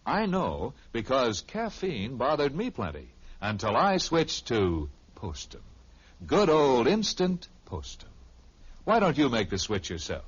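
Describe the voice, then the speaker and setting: An American man delivering a folksy, persuasive 1950s radio commercial in a husky voice. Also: mid-range voice, wildly swooping pitch, unhurried. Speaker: commercial pitchman. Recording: dull vintage radio recording.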